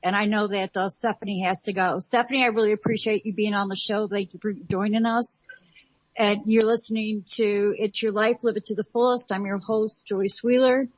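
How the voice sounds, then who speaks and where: A woman's voice: medium pitch, fairly even intonation, normal speed, dry recording. Woman radio host on a muffled line. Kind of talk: live internet radio talk show.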